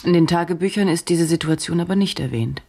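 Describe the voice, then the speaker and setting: An actress playing an intense, probing female journalist in a radio drama, speaking in deliberate, clipped, analytical phrases. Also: lowish voice, vocal fry, mellow. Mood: probing, reserved. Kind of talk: German radio drama acting.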